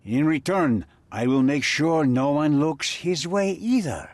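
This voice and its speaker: A man's voice: fairly high pitch, animated, measured pace, darkish tone, no room sound. A man voicing a cold, threatening crime boss and smuggler in video game cutscene dialogue. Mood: smug. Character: menacing male crime boss, smuggler and cutthroat.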